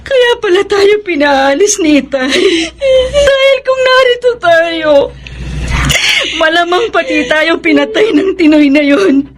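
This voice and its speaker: A woman's voice: very high-pitched, lively intonation, unhurried, shouting, background noise. An actress playing a dutiful, emotional mother in a Filipino radio drama. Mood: anguished, resolute.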